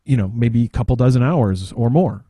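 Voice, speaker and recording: Halting man with very mellow, dark voice. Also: medium pitch, lively intonation, no room sound, clean studio sound. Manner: hesitant, halting, searching for words. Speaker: podcast panelist. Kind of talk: casual chat.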